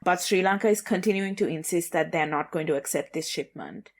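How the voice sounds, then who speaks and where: A woman with a lowish voice talks through regional news on a podcast. Her delivery is measured and conversational, with plenty of "you know" and "kind of" as she builds her points. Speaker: podcast co-host.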